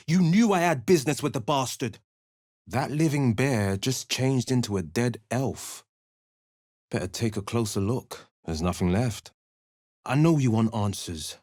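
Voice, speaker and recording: A dashing, dutiful young warlock hero delivers earnest clipped lines in a video game. His pitch rises and falls freely while he keeps an unhurried pace. The studio sound is close and dry. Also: no echo. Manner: earnest, crisp one-liners. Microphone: close studio mic.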